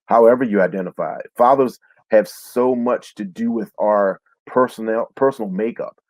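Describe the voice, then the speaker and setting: A man's voice: lowish voice, normal speed, darkish tone, dry recording. A man speaking as a guest author on a panel, candid and reflective. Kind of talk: panel discussion.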